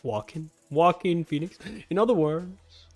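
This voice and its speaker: A man's voice: on the high side, measured pace, faint music behind. A man reading a webtoon aloud and reacting casually, exclaiming and swearing with mocking disbelief. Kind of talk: casual live reaction and read-along.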